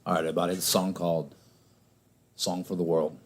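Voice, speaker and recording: A man's voice: sits low, level tone, fast. A man singing his own song at a coffee shop open mic, in a drawling, casual way.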